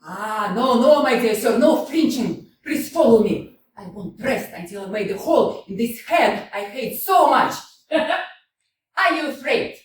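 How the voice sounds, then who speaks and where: An actress playing a proud, contrary young widow in a staged comedy reading, laughing often and flipping between scorn and pleading. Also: female voice, on the high side, lively intonation, a little slow, slight background noise. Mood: taunting. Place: community theater stage. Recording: echoey hall, mic from far off.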